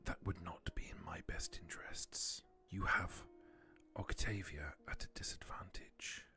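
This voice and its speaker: A man voicing a quiet, menacing male vampire in a tabletop game, speaking formally in a breathy whisper. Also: sits low, fairly even intonation, unhurried, pitched below the performer's own voice, heavily acted. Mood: guarded menace. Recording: music behind the voice.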